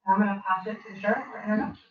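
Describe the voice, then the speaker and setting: A woman's voice: medium pitch, flat delivery, a little hiss or hum. A woman county supervisor opening a public meeting, speaking informally with many ums and restarts. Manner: informal, halting, with many fillers. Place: community meeting room. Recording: dull roomy sound from far off.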